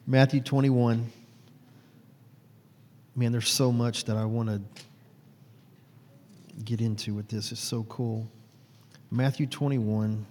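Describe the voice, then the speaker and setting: A man's voice: medium pitch, steady tone, slow, pauses often, darkish tone, a little hiss or hum. A man in his forties giving an informal, rambling sermon to a small group, often stopping to correct himself. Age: 40s. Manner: rambling, self-correcting, many fillers. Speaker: lay preacher. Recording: long hall reverb.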